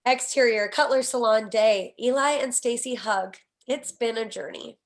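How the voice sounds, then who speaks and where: A woman narrates a scripted table read. She reads scene headings and action lines in a voice pitched a little high. The delivery is that of a screenplay narrator. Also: moderate pace. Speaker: stage directions narrator.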